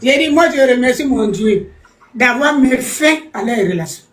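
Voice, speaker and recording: A high-voiced older woman talks in an echoey room, away from the mic. She speaks emphatically and repeats herself, laughing as she goes. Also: expressive, normal speed. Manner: emphatic, repetitive, laughing through relief. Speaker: mother of the guest. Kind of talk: emotional call-in remarks.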